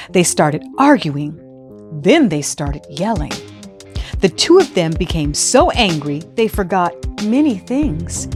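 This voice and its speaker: Lady doing kids storytime read aloud, teachery and expressive, pitch moves around a lot, music behind her. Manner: expressive, teacherly, addressing children and parents. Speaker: host of a children's read-aloud storytime show. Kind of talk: expressive storybook reading with scripted instructions.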